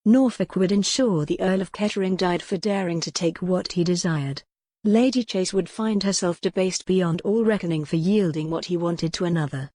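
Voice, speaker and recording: Female audiobook narrator, lowish pitch, dry recording, dramatic scripted storytelling for dark period romance. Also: normal speed, no echo.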